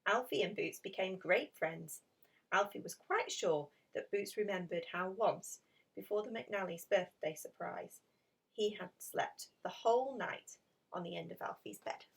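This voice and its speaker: A woman reading a children's picture story aloud in an expressive, dramatic storytelling manner. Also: mid-range voice, a little slow, gravelly, husky, recorded from a distance, poor audio. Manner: animated, dramatic emphasis with hushed passages. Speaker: storybook reader.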